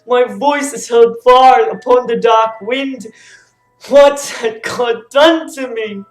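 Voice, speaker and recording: A fallen, blinded king of Greek tragedy, anguished and cursing his lot, acted by a woman. The delivery is slow and theatrical, in an echoing space with faint music underneath. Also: a little high. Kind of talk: dramatic classical tragedy reading.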